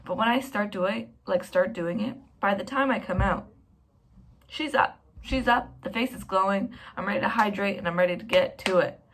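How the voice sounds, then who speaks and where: A woman's voice: mid-range voice, moderate pace. Chatty young woman vlogging. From Canada. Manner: chatty and rambling, self-mocking. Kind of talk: casual vlog talk to camera.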